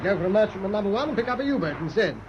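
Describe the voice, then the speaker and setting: An actor playing a brisk British destroyer captain in a radio drama, clipped, composed and commanding. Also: male voice, high-pitched, lively intonation. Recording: dull lo-fi radio recording, loud ship noise.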